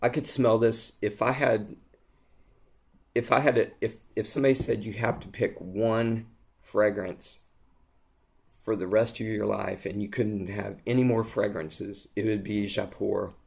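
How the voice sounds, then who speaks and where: Hoarse male vlogger, low and fairly monotone, enthusiastic rambling reviewer full of ums. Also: measured pace. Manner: gushing, rambling, lots of ums and restarts. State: hoarse, losing his voice. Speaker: fragrance reviewer. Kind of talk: casual product review vlog.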